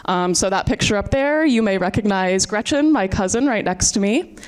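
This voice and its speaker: Clear-voiced young American woman moderating a panel. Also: mid-range voice, animated, moderate pace. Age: 20s. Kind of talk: prepared introduction to a live panel.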